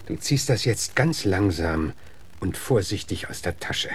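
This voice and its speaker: An actor playing a gruff, hard-bitten American frontier lawman in a radio drama, blunt and terse as he interrogates. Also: sits low, animated, measured pace, a little hiss or hum. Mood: menacing.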